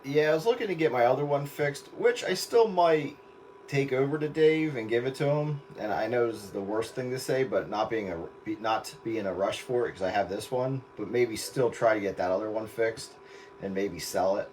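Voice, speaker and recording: A man's voice: medium pitch, moderate pace. A man with a mid-range voice talks casually as a radio hobbyist hosting a stream. He rambles along in an easygoing way, with faint static and noise behind him. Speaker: radio operator hosting a livestream.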